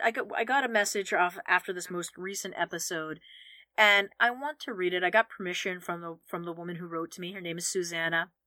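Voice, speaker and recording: A woman co-hosting a podcast, with a bright, forceful edge to her voice. She chats candidly and tells lively stories, often restarting her sentences. The sound is close and dry, with no room around it. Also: on the low side, no echo. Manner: candid, animated storytelling with frequent restarts. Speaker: podcast co-host. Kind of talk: casual conversational chat.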